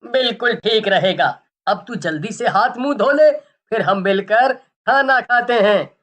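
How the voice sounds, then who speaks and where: Village snake-charmer father voiced by a woman, caring, confident, slow measured speech, reassuring cartoon storybook parent. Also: on the high side, animated, fairly bright tone. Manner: reassuring, unhurried, matter-of-fact.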